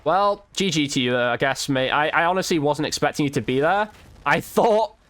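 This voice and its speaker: Casual higher-voiced man commentating on gameplay. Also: animated, brisk, a bit bright, no echo. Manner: informal, slangy, mild swearing. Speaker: gaming video host.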